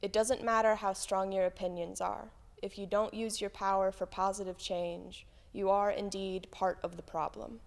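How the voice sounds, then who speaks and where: A woman's voice: mid-range voice, flat delivery, normal speed, vocal fry, hardly any pauses. A woman, a church member, reading quotations aloud in a solemn, scripted way. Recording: long hall reverb.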